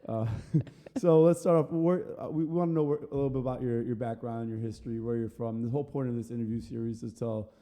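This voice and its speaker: A man's voice: medium pitch, fairly even intonation, brisk, mellow. A man hosting an interview podcast, chatty and self-deprecating, laughing often. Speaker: podcast host. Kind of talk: casual interview conversation.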